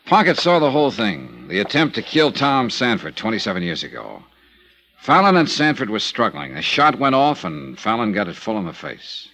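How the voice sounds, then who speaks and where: An actor playing a hard-boiled insurance investigator in a vintage radio drama, wry, terse and probing. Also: male voice, lowish voice, sing-song, normal speed, bright, forceful tone, exaggerated voice. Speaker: narrator and investigator. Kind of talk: 1950s radio drama acting.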